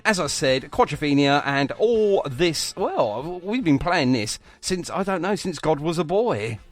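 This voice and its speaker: Animated, higher-voiced British radio host, a man. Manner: chatty, jokey, self-deprecating. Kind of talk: informal radio presenting. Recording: music behind the voice. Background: music.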